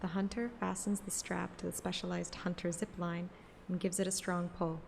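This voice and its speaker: A woman narrating a scripted present-tense story in a measured, descriptive way. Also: fairly low pitch, flat delivery, creaky, background noise. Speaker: audiobook narrator and streamer. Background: music.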